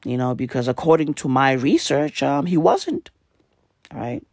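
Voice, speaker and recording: A young man with a hoarse, raspy voice that crackles into fry. He rambles in a laid-back, profane way, heavy on the fillers, and the sound is dry and close with no room around it. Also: medium pitch, lively intonation, measured pace, no echo. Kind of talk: casual rambling monologue.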